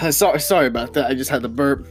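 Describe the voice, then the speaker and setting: A man's voice: medium pitch, soft background music. Hesitant male podcast host. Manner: hesitant, halting, full of fillers. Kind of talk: casual comedy podcast banter.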